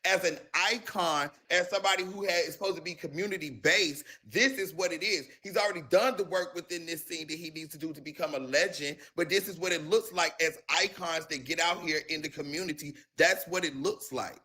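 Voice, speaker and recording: A man argues his point on a panel. His voice is high, bright and tense. He talks in an insistent, defensive way. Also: a little fast, hardly any pauses. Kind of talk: heated panel argument.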